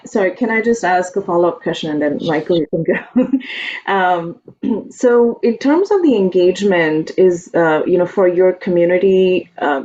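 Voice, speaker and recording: A woman's voice: lowish voice, mellow. A woman asking questions as a consultant on a conference call, phrasing them politely and tentatively. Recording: dull, noisy conference call line.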